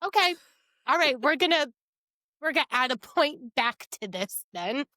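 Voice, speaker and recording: A woman's voice: high-pitched, sing-song, moderate pace, pushed and bright, dry recording. A woman co-hosting a podcast, chatting informally and chiming in with reactions. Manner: informal, interjecting. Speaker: podcast co-host. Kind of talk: casual chat, reacting to co-host.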